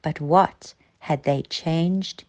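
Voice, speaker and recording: Slow, expressive woman telling a children's story. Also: deep voice, muffled recording. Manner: expressive, dramatic emphasis.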